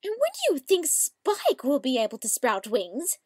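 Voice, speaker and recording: A woman voicing a sweet, excitable young female cartoon pony for a fan audio drama. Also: sits high, normal speed, no room sound, studio quality. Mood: earnest. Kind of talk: fan audio drama voice acting with flubbed retakes.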